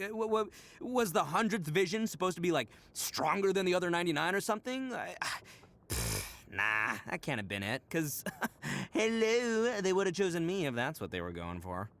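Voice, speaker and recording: An actor playing a brash, goofy young horned oni gang leader in a video game, boisterous, boastful and slangy, with theatrical swings. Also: male voice, high-pitched, a bit bright, full character voice. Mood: indignant, dismissive. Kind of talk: video game character voice acting.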